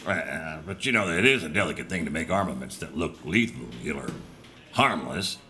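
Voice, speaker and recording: A man's voice: very deep voice, lively intonation, moderate pace, bright, forceful tone, slight background noise, full character voice. A man playing a modest, careful circus prop maker in an audio drama, talking in a self-effacing, hesitant, rambling way. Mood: modest, earnest.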